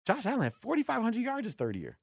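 An American man hosting sports talk radio, talking with callers in an informal, animated, conversational way. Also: extremely high pitch, fast, vocal fry, breathy edge, no room sound, clean studio sound. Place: radio studio. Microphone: close broadcast microphone.

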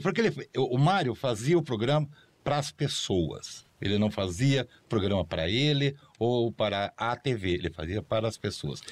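A man, a former juror and producer, telling an anecdote and acting out the quoted dialogue. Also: mid-range voice. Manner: animated, acts out quoted dialogue. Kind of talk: anecdotal recollection.

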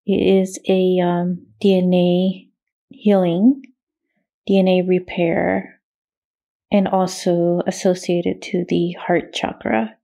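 A woman leading a guided meditation in a soothing, measured way, with long pauses and a gravelly, creaky voice. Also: lowish voice, monotone, warm tone. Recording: meditation music with singing bowl behind. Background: meditation music, singing bowl tones.

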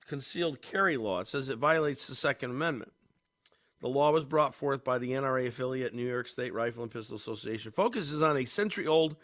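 A man's voice: medium pitch, fairly bright tone. A man hosting a talk radio show, congested, rambling casually with many fillers and restarts.

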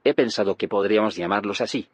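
An actor playing a pragmatic male scientist in an audio drama, with a measured, explanatory delivery. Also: lowish voice, breathy edge, no echo. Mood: calm, assured. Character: calm, pragmatic male scientist planning a village's protection.

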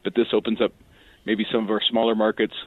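A man being interviewed on the radio as a guest, talking conversationally and a bit haltingly over a thin line. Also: fairly low pitch, flat delivery, slightly rough, no room sound. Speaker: cinema chain spokesman, interview guest. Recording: tinny narrowband phone-like line.

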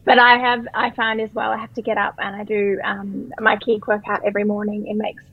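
A woman with an Australian accent and a croaky voice, a company co-founder chatting as a podcast guest. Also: mid-range voice, little pitch movement, brisk, dry recording, narrow, tinny audio, soft background music. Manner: chatty, upbeat, repeats words. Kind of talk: casual podcast interview.

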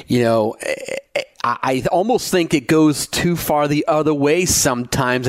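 A man's voice: medium pitch, no echo. American man in his forties, close dry sound. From Northeast Ohio, United States. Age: 40s. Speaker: podcast host, high school sports broadcaster. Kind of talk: conversational podcast introduction and interview.

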